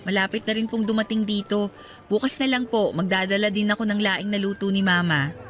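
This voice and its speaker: A woman's voice: mid-range voice, fairly even intonation, brisk, slight background noise, natural voice. A woman playing a polite, caring young woman. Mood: gentle. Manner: respectful, reassuring. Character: devoted young widowed fiancée, caring and polite. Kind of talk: radio drama acting.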